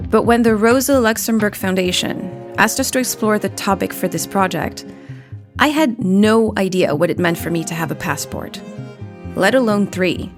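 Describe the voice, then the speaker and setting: A woman journalist hosting a documentary podcast, in measured and reflective scripted narration that poses questions to the listener. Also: medium pitch. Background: music bed.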